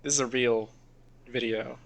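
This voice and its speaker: A man's voice: mid-range voice, steady tone, a little slow, gravelly. A man co-hosting a podcast in a casual, rambling, sweary chat, reacting to videos. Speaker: podcast host.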